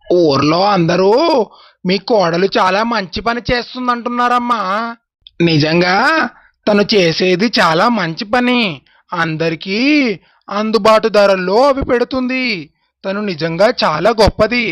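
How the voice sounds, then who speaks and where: A man playing approving, respectful village men in an animated moral story. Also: high voice, measured pace, pauses often, no room sound. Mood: praising. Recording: dry voice with background music.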